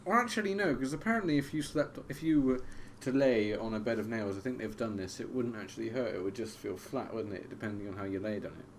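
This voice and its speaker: Young guy, low-pitched, loose podcast banter with a faint hiss of noise behind. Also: fast talker, hardly any pauses. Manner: swears freely, says "mate" often. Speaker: podcast co-host. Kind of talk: casual chat.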